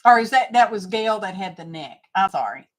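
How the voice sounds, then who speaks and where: A woman's voice: medium pitch, expressive, brisk, a bit bright. A middle-aged woman hosting a craft live stream, chatting casually and rambling to her viewers as she works.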